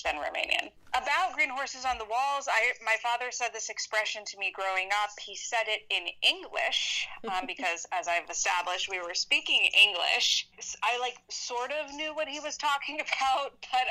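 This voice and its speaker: A woman's voice: medium pitch, pushed and bright, low-quality recording. An American woman in her thirties, a poet and historian, answering interview questions in a chatty, storytelling way and laughing often. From United States. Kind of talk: relaxed conversational interview answers.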